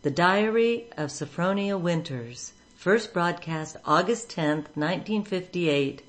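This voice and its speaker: A woman's voice: fairly low pitch, animated, takes it slowly, a little hiss or hum, low-quality recording. A woman giving scripted narration as the host of a radio show.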